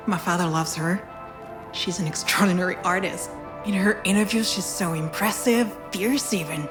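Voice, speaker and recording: A polite hospital professional voiced by an actress in a narrative video game. Her woman's voice sits slightly low and she speaks with tact. Music is clearly audible underneath. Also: moderate pace, natural voice. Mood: tactful, admiring. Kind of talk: dramatic video game dialogue. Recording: music behind the voice.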